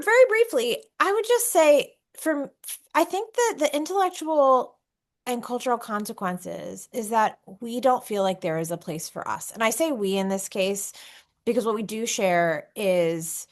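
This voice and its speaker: A woman speaking as a scholar on an academic panel, earnest and halting as she rephrases her thoughts aloud. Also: mid-range voice. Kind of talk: unscripted academic discussion.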